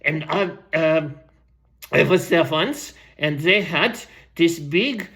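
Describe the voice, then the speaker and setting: A performer voicing a rambling, forgetful old storyteller who speaks haltingly and repeats words in a fanciful children's tale. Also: medium pitch, fairly bright tone. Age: older. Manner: halting, repetitive, wandering off on tangents.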